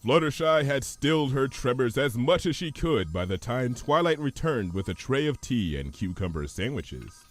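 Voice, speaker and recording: A man narrating a scripted story in an expressive, theatrical manner. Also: mid-range voice.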